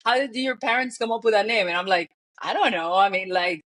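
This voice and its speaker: A woman from the Dominican Republic with a Dominican accent, a guest telling stories in a relaxed interview and laughing often. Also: mid-range voice, brisk. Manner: animated storyteller, laughs often, acts out quoted people. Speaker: guest, real estate founder. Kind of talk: conversational interview.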